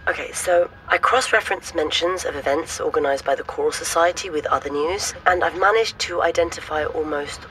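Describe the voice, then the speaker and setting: An actress playing a brisk, cautious woman researcher in an audio drama phone conversation. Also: deep voice, fairly bright tone, poor audio. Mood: brisk, concerned.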